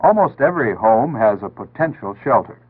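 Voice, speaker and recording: An American man reading scripted 1960s public service narration in a measured, earnest, instructive manner. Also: medium pitch, sing-song, husky. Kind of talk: 1960s civil defense PSA scripted narration. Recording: tinny band-limited 1960s broadcast audio.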